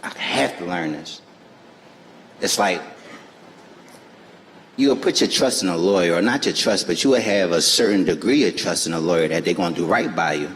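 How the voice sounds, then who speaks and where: Deep-voiced man on a reverberant stage. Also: expressive, normal speed, long pauses, a bit bright. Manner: earnest, emphatic, informal phrasing. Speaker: panel guest. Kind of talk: live panel discussion. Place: festival stage before an audience.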